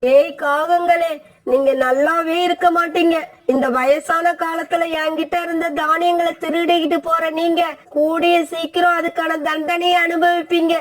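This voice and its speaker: A very high-pitched, indignant old female bird played by a woman in a cartoon moral story. She complains and pleads for justice, aggrieved at every turn. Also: flat delivery, moderate pace. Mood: bitter cursing. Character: aggrieved elderly female bird, tearful and scolding. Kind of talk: animated moral story dialogue.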